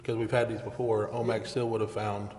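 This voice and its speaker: A man's voice: fairly low pitch, little pitch movement, a little fast, darkish tone. An American man chairing a formal public meeting and running its procedure. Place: large indoor council chamber. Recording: reverberant hall, faint background noise.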